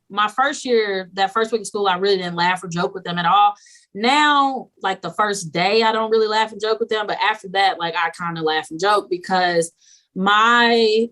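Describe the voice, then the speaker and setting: A young woman teacher chatting as a podcast guest, animated and laughing often, slipping into quoted voices as she tells stories. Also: medium pitch. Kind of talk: casual podcast interview.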